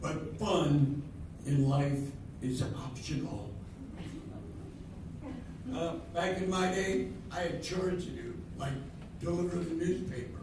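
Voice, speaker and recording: A man's voice: fairly high pitch, slow, pauses often. A man playing a grumpy, old-fashioned grandfather in an amateur readers theatre, blustering with emphatic exclamations. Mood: nostalgic, disapproving. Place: senior center hall, live performance. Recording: echoey hall, distant mic, noisy room.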